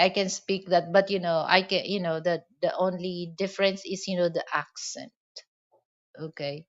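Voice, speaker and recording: Filipino lady vlogging, kinda low voice, says you know a lot, muffled audio. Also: fairly bright tone. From Philippines. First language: Hiligaynon. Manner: rambling, many "you know" fillers. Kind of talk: casual monologue.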